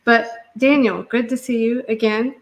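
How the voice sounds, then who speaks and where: A woman's voice: on the high side, fairly even intonation, moderate pace. A woman hosting a casual live interview. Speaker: host.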